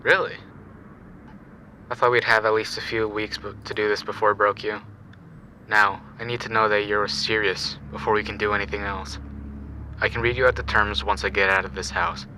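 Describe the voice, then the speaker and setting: A man acts out a sadistic killer in an audio drama. His low voice has a very hard, bright edge, and he delivers threats with cold, taunting amusement. There is clear noise behind him. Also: little pitch movement, moderate pace, rough recording. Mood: smug threatening. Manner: menacing, taunting, matter-of-fact.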